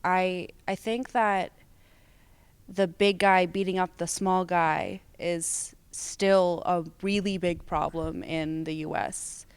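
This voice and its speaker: A woman's voice: lowish voice, fairly even intonation, slow pace, clean tone, no echo. A young American woman giving casual interview answers as a student theater performer. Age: young adult.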